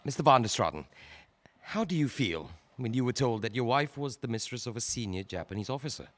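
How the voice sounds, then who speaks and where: A man's voice: medium pitch, sing-song, lightly acted. A man playing a formal, courteous prosecutor. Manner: formal, measured courtroom questioning. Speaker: prosecution counsel. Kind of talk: audio drama acting.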